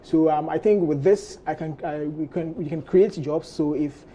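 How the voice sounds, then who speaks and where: Very mellow, halting Ghanaian male entrepreneur. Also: a little high, slight background noise. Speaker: fashion entrepreneur, studio guest. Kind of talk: spontaneous interview answers.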